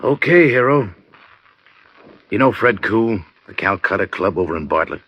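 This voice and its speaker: An actor playing an uneasy but protective American friend who talks plainly and reluctantly, in old radio noir style. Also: male voice, fairly low pitch, animated, moderate pace, husky. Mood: reluctant warning. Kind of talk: 1940s-50s radio noir acting. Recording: dull, dry vintage radio recording.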